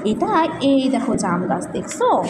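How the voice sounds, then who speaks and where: A woman's voice: high voice, sing-song, unhurried. A woman telling a children's story interactively, coaxing a small child with repeated phrases and questions. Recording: echoey reverberant room with background noise.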